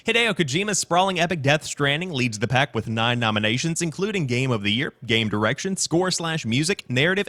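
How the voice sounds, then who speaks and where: Male gaming news presenter: slightly high, very animated voice, emphatic and polished delivery. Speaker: host of a gaming news show. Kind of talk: upbeat scripted news show presenting with commentary.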